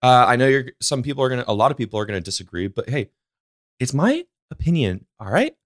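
A man's voice: medium pitch, sing-song, fast talker, no room sound, clean studio sound. A man in his thirties hosting a solo podcast, rambling in a casual, slangy, upbeat way and swearing freely. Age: 30s. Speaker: podcast host.

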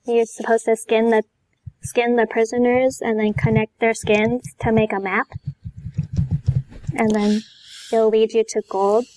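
Woman podcast guest just chatting, kinda high voice, hesitant and unsure. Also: flat delivery, a little slow, long pauses, darkish tone, low-quality recording. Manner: hesitant, tentative. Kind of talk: casual chat about anime and manga.

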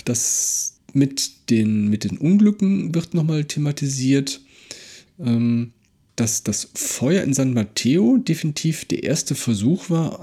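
Husky, dark-voiced man, halting delivery. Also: medium pitch. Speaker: podcast co-host. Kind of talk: casual discussion and analysis of an audio drama plot.

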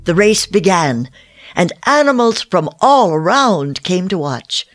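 A storyteller narrating a children's fable from a script in an expressive way. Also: medium pitch, a little slow, faint music behind.

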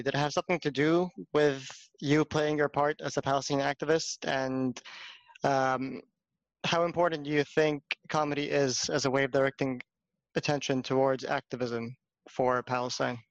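A man's voice: mid-range voice, flat delivery, moderate pace, no room sound. A man from California co-hosts a casual podcast, filling his sentences with "um" and "like" and laughing easily. His voice comes through dry and slightly muffled. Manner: hesitant, laughs easily, fillers "um" and "like". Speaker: podcast co-host.

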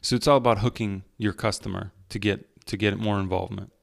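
A man hosting an interview podcast, introducing a guest and asking relaxed, conversational questions. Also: lowish voice, fairly quick, gravelly, no room sound, studio quality. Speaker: podcast host.

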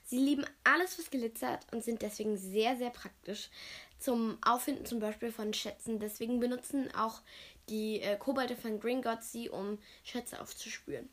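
Cheerful woman podcast host with a slightly high voice, list-style fact reading. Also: normal speed. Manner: cheerful, reads facts in a list. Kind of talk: casual podcast chat.

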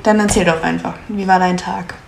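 A woman in her twenties from Munich chats easily as a podcast co-host, laughing often and filling pauses as she goes. Her voice has a roomy echo with a faint hiss behind it. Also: lowish voice, steady tone, gravelly, a little mellow. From Munich, Germany. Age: 20s. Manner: chatty, laughs easily, frequent filler sounds. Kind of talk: casual chat. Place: at home in Munich. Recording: echoey room with faint noise.

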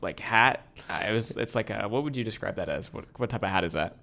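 A man's voice: lowish voice, animated, fast, vocal fry, sharp tone. A young American man co-hosting a podcast, telling stories and bantering in a casual, teasing way. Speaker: podcast co-host.

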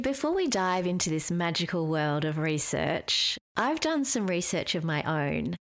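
Casting note: female podcast host, slightly low voice, polite and prepared. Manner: polite, well-prepared interviewer. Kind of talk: hosted interview.

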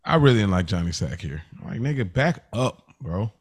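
A man's voice: lowish voice, lively intonation, moderate pace, husky. A man co-hosting a casual TV review podcast, talking in a slangy, conversational way. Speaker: podcast co-host.

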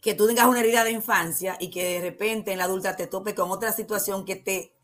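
Dominican lady counselor on a talk show, calm and teacherly, asks and answers her own questions. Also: mid-range voice, quick pace. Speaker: guest counselor. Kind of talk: explanatory talk-show conversation.

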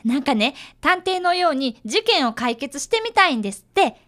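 A woman's voice: high voice, big pitch swings, moderate pace, fairly bright tone. A woman playing an earnest, polite fifth-grade boy who is an apprentice detective in a radio drama. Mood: cheerful, proud. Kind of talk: radio drama acting with first-person narration.

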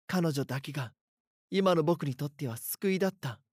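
An actor playing a frightened schoolboy who narrates a horror audio drama in an earnest, dramatic way. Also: male voice, on the high side, normal speed, darkish tone, husky, dry recording, studio quality. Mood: somber, uneasy. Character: frightened schoolboy haunted by his doppelganger. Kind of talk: first-person scripted horror narration.

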